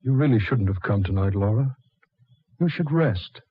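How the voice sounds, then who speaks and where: Family doctor for vintage radio chiller: gentlemanly, considerate, husky, on a muffled recording. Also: male voice, lowish voice, expressive, normal speed. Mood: gentle, consoling. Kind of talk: 1940s radio horror acting.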